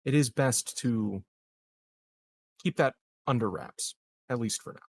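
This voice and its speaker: A man playing a calculating colonial leader in a tabletop game, speaking formally and haltingly like a careful politician. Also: medium pitch, dry recording. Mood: evasive, diplomatic. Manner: formal, measured, halting diplomatic phrasing. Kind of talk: improvised tabletop roleplay dialogue.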